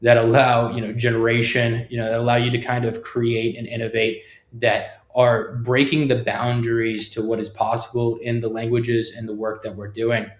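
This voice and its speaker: A man's voice: mid-range voice, monotone, moderate pace. A middle-aged man giving an informal, emphatic, rambling tech talk, punctuated with short checking questions. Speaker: software developer presenting own project. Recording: echoey room.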